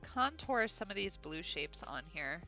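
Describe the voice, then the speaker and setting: Bright-voiced Midwest American woman streaming. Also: fairly low pitch, very animated, a little slow, soft background music. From Ohio, USA. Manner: chatty and upbeat, with frequent fillers and giggles. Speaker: illustrator live-streaming her drawing. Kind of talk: casual livestream chat while drawing, reading viewer comments aloud.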